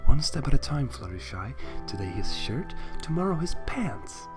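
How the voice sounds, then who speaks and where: A man's voice: medium pitch, sing-song, normal speed, over music, voice pushed up, lightly acted. Man voicing a shy, clingy cartoon pony girl, lilting. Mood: scheming.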